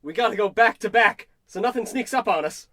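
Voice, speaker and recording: An actor playing an excitable, gullible salesman sidekick in a spoof of old radio drama, naive and easily alarmed. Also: high-pitched, fairly quick, dry recording. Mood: eager. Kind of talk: parody of old radio comedy-drama acting.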